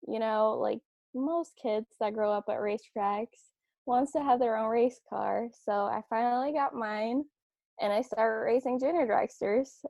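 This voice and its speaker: Slow-talking young woman from Norway. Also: mid-range voice, no echo. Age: 20s. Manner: relaxed, with fillers and restarts. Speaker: guest, drag racing driver. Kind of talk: casual interview chat. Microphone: remote call. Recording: dull remote-call audio.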